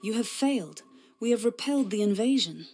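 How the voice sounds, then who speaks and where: A woman's voice: on the high side, expressive, fairly quick, a little mellow, soft background music. Earnest woman warrior, game cutscene. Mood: angry, defiant. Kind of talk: scripted video game cutscene acting.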